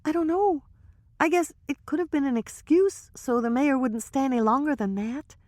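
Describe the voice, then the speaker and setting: A woman's voice: high voice, moderate pace, darkish tone, no room sound, voice pushed up, close to an everyday voice. A woman voicing a nervous, gossipy young woman who talks in a hesitant, breathless way.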